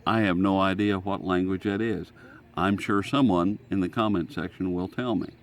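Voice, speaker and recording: A man's voice: low voice, steady tone, normal speed. A man giving casual, unscripted commentary as a radio hobbyist, musing and guessing aloud as he goes. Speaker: shortwave radio hobbyist narrating a band scan. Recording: noisy, radio static behind. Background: shortwave radio static and stations.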